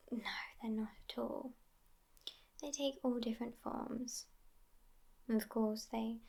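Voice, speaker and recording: A woman plays a sassy immortal vampire girlfriend in an intimate ASMR roleplay. Her breathy whisper is slow and creaky at the edges. The delivery stays level, world-weary and teasing. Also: a little high. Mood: teasing, secretive. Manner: unhurried, soft, intimate whispering.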